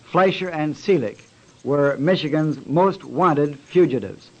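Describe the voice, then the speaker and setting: Male police commissioner, slightly high voice, stiff and halting official delivery, lo-fi radio sound. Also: heavy background noise. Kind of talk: formal official statement for radio. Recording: dull, band-limited old radio recording.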